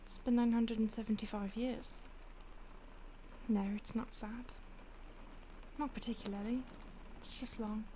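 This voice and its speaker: A woman playing an ancient, detached vampire stalker in an intimate audio roleplay, with a breathy voice that often drops to a whisper and a languid, teasing manner. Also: a little high, steady tone, measured pace, long pauses, dark tone, a little hiss or hum, natural voice. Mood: musing, detached. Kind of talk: intimate audio roleplay, ASMR-like acting addressed to the listener.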